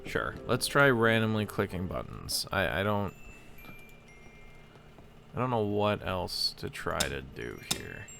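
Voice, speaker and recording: A man's voice: low voice, sing-song, slow pace, gravelly, pauses often, pushed and bright. A man giving casual let's play commentary, thinking aloud hesitantly as he plays. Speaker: game streamer. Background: game music.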